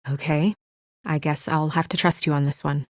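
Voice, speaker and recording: A young woman played by a game voice actress with a fairly low, serious voice, recorded dry and close. She is an earnest, composed official who speaks with measured restraint, in naturalistic video game dialogue. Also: steady tone, no echo, lightly acted.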